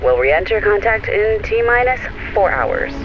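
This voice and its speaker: A man playing a brisk spaceship pilot, reporting in clipped procedural phrases in a science fiction audio drama. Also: high voice, sing-song, dull audio, noisy background, full character voice. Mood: businesslike.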